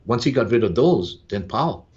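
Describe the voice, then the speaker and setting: An older man, telling stories unhurriedly as a podcast guest, pausing on "uh" and tagging lines with "yeah?". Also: mid-range voice, expressive, darkish tone. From Hawaii. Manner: unhurried, with frequent "uh" pauses and "yeah?" tags. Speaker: guest, farmer and business owner. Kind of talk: relaxed storytelling in conversation. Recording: dull lo-fi sound.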